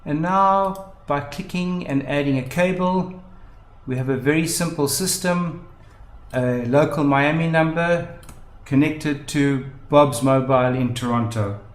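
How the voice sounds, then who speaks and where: A man narrating a step-by-step software demonstration in measured, explanatory phrases. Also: fairly high pitch. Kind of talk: step-by-step instructional narration of a screen demo. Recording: roomy, echoing voice-over.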